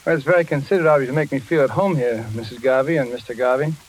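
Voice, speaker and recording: An actor playing a polite, guarded young engineer in an old-time radio thriller. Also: male voice, medium pitch, rapid-fire, natural voice, poor audio. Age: young adult.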